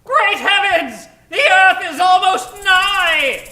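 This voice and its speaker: An excitable, pompous professor in a radio space serial. The voice is very high and bright, full of theatrical exclamation, in an echoey room. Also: slow pace, exaggerated voice. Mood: alarmed. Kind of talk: old-time radio serial acting before a live audience.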